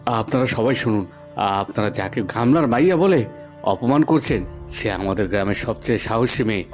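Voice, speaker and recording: A man's voice: on the low side, animated, normal speed, faint music behind, close to an everyday voice. A man voicing an earnest, impassioned young lover, the son of a village headman, in a cartoon. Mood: resolute. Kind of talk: cartoon voice acting.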